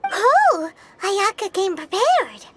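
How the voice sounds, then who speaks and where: A woman's voice: very high-pitched, very animated, slow pace, exaggerated voice. A woman voicing a small, eager, childlike fairy companion in a video game, with a chirpy manner. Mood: cheeky. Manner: chirpy, refers to self in third person. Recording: loud game music behind voice.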